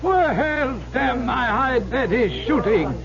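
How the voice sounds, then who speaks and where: A hearty, blustering Duke in old radio drama style. The voice sits remarkably high and is full of pompous, jovial exclamation. The recording is muffled and dated. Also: male voice, lively intonation, takes it slowly, gravelly, crowd noise, heavily acted.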